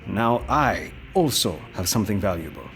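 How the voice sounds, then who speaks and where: An ambitious male captain bargaining in an audio drama. His lowish voice is hesitant yet determined, with faint music underneath. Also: expressive, moderate pace, a bit bright. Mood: bold, insistent. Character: nervous but ambitious male warlord's nephew, a captain.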